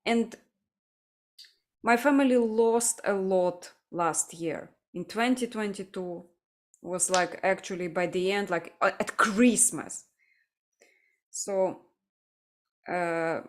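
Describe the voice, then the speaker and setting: A woman from Ukraine hosting a livestream discussion, speaking earnestly and haltingly as she searches for her words. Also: mid-range voice. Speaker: livestream host. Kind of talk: casual discussion.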